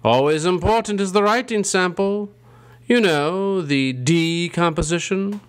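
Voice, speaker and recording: A man playing a ghoulish, gleefully punning college dean who hosts a campy horror show with theatrical, drawn-out delivery. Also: high-pitched. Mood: gleefully macabre.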